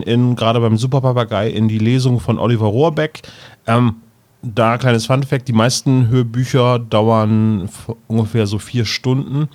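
A man's voice: mid-range voice, darkish tone. A man talking casually as a podcast co-host, discussing and commenting.

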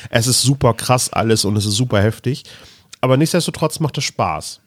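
A man's voice: medium pitch, fairly quick, no echo, studio quality. A man co-hosting a podcast in casual conversation, often searching for words as he explains. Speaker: podcast co-host. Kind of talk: casual podcast chat about fantasy audio dramas.

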